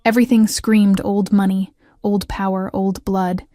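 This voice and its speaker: A woman narrating a first-person romance story in a scripted storytelling style, with an even, subdued delivery. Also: medium pitch, a little slow, no echo, clean studio sound.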